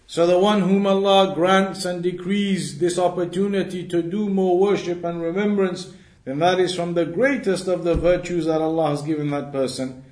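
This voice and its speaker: A man's voice: high-pitched, a little mellow. A man giving a religious lesson, explaining didactically and repeating key phrases for emphasis. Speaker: religious teacher. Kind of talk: religious lesson, reading Arabic text and explaining it in English. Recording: roomy, echoing sound.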